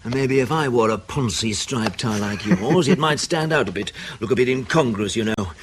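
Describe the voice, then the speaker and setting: An actor playing a wry, insolent, self-assured intelligence officer in a radio drama, laconic and needling. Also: male voice, mid-range voice, normal speed, slight background noise, close to an everyday voice. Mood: cheeky, wry.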